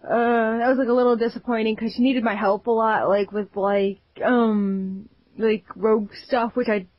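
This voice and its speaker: A woman's voice: fairly high pitch, level tone, normal speed, dry recording. Rambling young-sounding woman, lo-fi podcast. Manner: rambling, lots of "like" fillers. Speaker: podcast co-host. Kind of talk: casual gaming chat. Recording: dull lo-fi sound.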